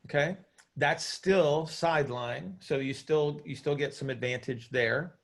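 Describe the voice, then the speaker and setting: A man with a creaky voice, casually explaining as a coach hosting a group call, often checking that listeners follow. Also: fairly high pitch, measured pace. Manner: informal, hands-on, checks understanding with short tag questions. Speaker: host and coach.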